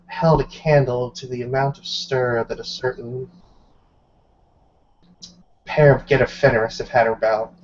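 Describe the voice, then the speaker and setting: Slow, deliberate male game master. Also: mid-range voice, a little mellow. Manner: deliberate, storyteller-like, pausing. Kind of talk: improvised dramatic tabletop roleplay narration. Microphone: online group voice call. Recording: muffled online call with background noise.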